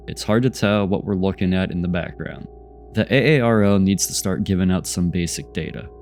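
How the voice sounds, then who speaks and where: A man's voice: low-pitched, darkish tone. A congested man with a stuffy voice from a cold, hosting and narrating video footage in a skeptical tone that poses questions. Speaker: channel host narrating UFO footage. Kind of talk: voice-over commentary on video clips.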